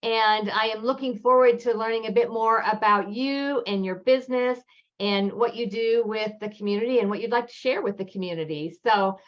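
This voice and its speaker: Higher-voiced woman hosting, muffled audio. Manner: polite, measured questions. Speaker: interview host. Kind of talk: friendly interview opening.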